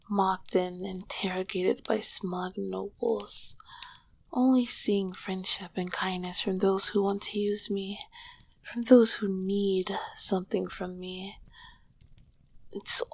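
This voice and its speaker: A woman voicing an ancient, lonely and affectionate succubus queen in an intimate ASMR roleplay, breathy and often slipping into a whisper, with doting, eloquent phrasing. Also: mid-range voice, full character voice. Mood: weary, sorrowful.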